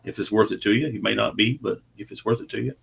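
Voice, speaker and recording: A man's voice: on the low side, steady tone, fast talker, creaky, a bit bright, husky. A man chatting casually as an interview guest, earnest and plainspoken, explaining his comic book project on a video call. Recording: dull, lo-fi video call audio.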